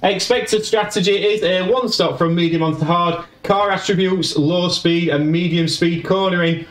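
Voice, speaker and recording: A man's voice: high-pitched, fairly even intonation, normal speed, roomy sound, recorded from a distance, poor audio. A man with a Yorkshire accent, a gaming YouTuber giving chatty play-by-play of a racing management game. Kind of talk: game commentary.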